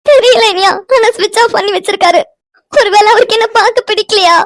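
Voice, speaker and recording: A woman's voice: very high voice, fast talker. A woman playing a distraught, lovesick young woman in an audio melodrama, crying and whining insistently. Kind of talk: melodramatic audio drama dialogue.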